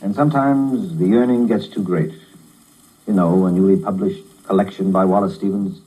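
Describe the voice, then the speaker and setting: An actor playing a wry, tolerant struggling writer, narrating and talking in a dry conversational way in a 1950s radio drama. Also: male voice, low voice, moderate pace, dark tone, husky, rough recording. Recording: old 1950s radio broadcast.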